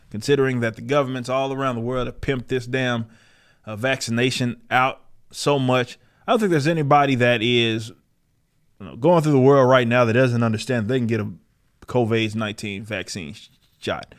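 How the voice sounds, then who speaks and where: A man's voice: mid-range voice, fairly even intonation, normal speed, smooth. A man giving casual, opinionated podcast commentary in a combative, emphatic manner with plenty of swearing. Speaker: podcast host.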